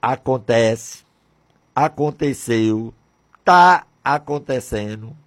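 A man's voice: medium pitch, expressive, drawn-out words. A man in his sixties from Brazil, talking on religious radio in a fervent, repetitive way with many rhetorical questions. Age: 60s. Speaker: radio presenter.

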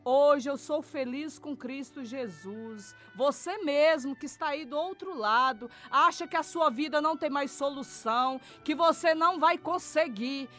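A woman's voice: high-pitched, unhurried, hardly any pauses, a bit bright. A middle-aged woman with a São Paulo state Brazilian accent, giving an earnest, emphatic religious testimony straight to listeners. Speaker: church member giving testimony. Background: music.

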